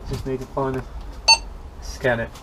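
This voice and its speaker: A man's voice: little pitch movement, a little slow. A man chatting casually in short remarks as he helps with a hands-on job. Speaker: helper in a boat restoration. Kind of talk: casual chat while working. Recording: very noisy outdoor sound, birds, rumble.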